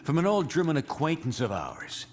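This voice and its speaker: An actor playing a principled soldier superhero leader, earnest and firm, in a video game cutscene. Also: male voice, fairly high pitch, huge pitch swings, normal speed. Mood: defiant.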